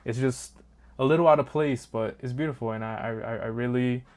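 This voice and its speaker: A man at an ordinary middle pitch talks casually, like he's walking a friend through a slideshow of his street photos. He wanders off track and restarts his sentences, filling the gaps with easygoing ums. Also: brisk. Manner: relaxed and rambling, many ums and restarts. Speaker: street photographer and channel host.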